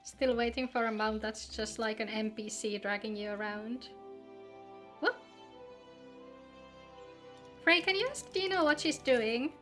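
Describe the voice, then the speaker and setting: A woman with a fairly high voice streams a game over background music. She talks casually, as if to friends in a chat. Her manner is playful and chatty, with a joking streak. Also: a little slow, pauses often, a bit bright. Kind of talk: casual livestream chat while gaming.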